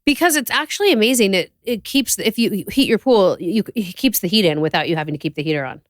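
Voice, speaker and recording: A woman co-hosting a podcast, talking casually and candidly with a friend, quick to react and give her opinion. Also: medium pitch, expressive, no room sound, clean studio sound. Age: adult, parent. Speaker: podcast co-host.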